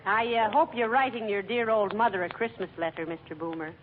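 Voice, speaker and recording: An actress playing a weary, dryly teasing wife in a homey style of old radio comedy. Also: female voice, medium pitch, brisk, phone-quality sound, a crowd in the background, close to an everyday voice. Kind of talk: 1940s radio comedy acting. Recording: scratchy, noisy old radio broadcast.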